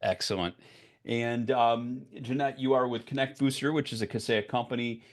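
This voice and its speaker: A man hosting a vendor pitch session over a video call, talking casually and haltingly with many fillers. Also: fairly even intonation. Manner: filler-heavy, halting with many "you know"s. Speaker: webinar host.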